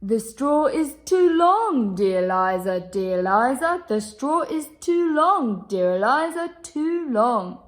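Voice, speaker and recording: Woman playing a slow, plaintive, hapless storybook man. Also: high-pitched, very animated, exaggerated voice. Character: hapless, helpless man Henry in a children's story.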